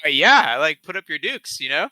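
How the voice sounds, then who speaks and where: A man's voice: fairly high pitch, big pitch swings, fairly quick, bright, forceful tone, no room sound. A man playing in a tabletop game, chatting casually, hesitant and repeating his words. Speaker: player.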